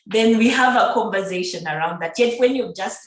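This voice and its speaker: A woman's voice: mid-range voice, fairly quick. Chatty woman in an echoey room. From Uganda. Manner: chatty and animated, laughs often, frequent fillers. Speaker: podcast guest. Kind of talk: casual conversational interview.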